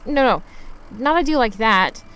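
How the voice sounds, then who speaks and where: A woman playing an anxious, scrappy young driver who narrates their misadventures in an expressive audio drama. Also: a little high, unhurried, clear, resonant tone, poor audio. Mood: panicky. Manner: expressive, fretful, exclamatory. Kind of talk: dramatised first-person audio fiction narration.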